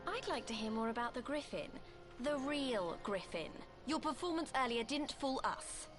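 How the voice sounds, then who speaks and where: An actress playing a blunt, outspoken, indignant young heroine in a video game cutscene. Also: female voice, a little high, expressive, normal speed, music in the background, lightly acted. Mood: challenging.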